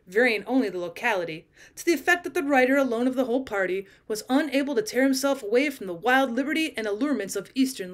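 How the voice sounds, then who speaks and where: A woman with a fairly high voice narrates a literary short story. She reads deliberately and expressively, leaning on key phrases as a teacher reading aloud would.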